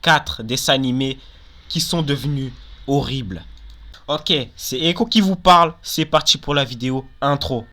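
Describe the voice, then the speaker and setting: A man's voice: mid-range voice, moderate pace, pushed and bright. A young man hosting a casual countdown video, talking informally and giving opinions, often repeating and qualifying himself. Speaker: video host.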